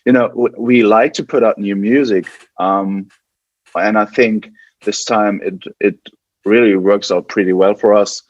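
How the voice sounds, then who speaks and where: A man's voice: on the low side, steady tone, a little slow, no room sound, highs cut off. A man from West Germany with a German accent, chatting casually as an interview guest and often repeating and restarting his words. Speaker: podcast guest, band guitarist.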